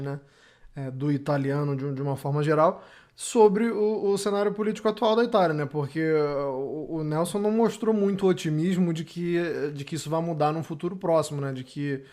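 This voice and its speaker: A man hosts the discussion and asks long, rambling questions that keep circling back with tag words. His voice sits fairly high for a man. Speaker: podcast host. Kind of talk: casual panel discussion.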